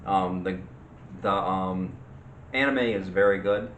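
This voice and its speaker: A man co-hosting a casual, unscripted movie chat in a hesitant, halting way. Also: sits low, a bit bright. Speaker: video co-host. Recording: echoey room with faint noise.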